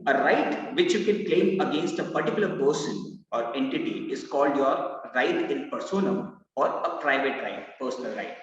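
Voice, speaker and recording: A man with an Indian accent teaching a live online law class, with a loud voice, mixing Hindi phrases in. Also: medium pitch, normal speed, hardly any pauses. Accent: Indian English. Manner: lively, mixes in Hindi phrases, reads out student answers. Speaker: law entrance mentor. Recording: echoey, roomy, distant, dull live-class audio.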